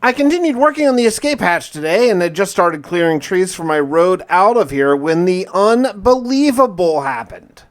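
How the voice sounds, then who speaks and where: A man with a slightly high voice hosts a survival game playthrough. He narrates in the first person, casually and conversationally, with a mildly wry edge. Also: expressive, moderate pace, few pauses. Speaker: gaming video host narrating a survival playthrough.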